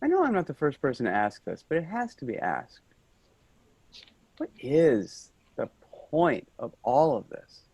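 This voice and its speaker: Guy acting a tipsy office worker musing on life in a play reading, rambly, highish voice, lots of swing. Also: wildly swooping pitch, a little mellow, slightly rough, dry recording, exaggerated voice. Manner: earnest, rambling, halting.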